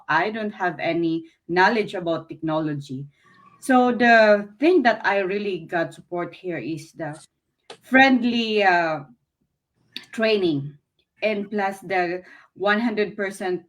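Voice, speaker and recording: A Filipino woman talks about her experience as a business owner in English, which is not her first language. Her voice sits at an ordinary middle pitch for a woman. She speaks earnestly and pauses often, with frequent fillers as she searches for her words. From Philippines. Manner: hesitant, many fillers, earnest. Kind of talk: casual testimonial talk. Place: Canada.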